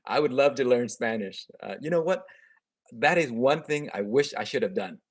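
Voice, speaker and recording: A man's voice: mid-range voice, expressive, slow, no echo, clean studio sound. A man in his thirties, a native Vietnamese speaker with a slight accent, hosting a casual live stream from a room at home into a webcam and answering viewer comments in an upbeat, chatty way with frequent restarts. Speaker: gardening channel host.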